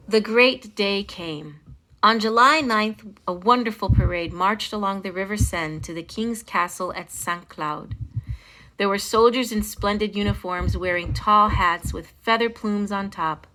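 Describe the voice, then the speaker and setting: Bright-voiced woman reading a children's story. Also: mid-range voice, measured pace, poor audio. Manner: animated, dramatic storytelling with stressed phrases. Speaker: storytime host reading a picture book. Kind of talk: expressive children's read-aloud.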